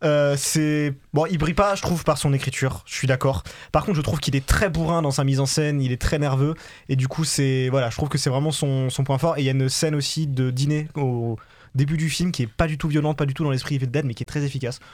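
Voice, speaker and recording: A man's voice: a little high, fairly even intonation, slight background noise. A man on a casual film podcast, talking bluntly about films. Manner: fast, blunt.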